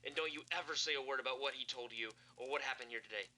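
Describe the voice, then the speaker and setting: An actor playing a stern, protective park ranger with an urgent, commanding manner in a found-footage horror drama. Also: male voice, mid-range voice, rapid-fire, sharp tone. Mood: urgent, pleading.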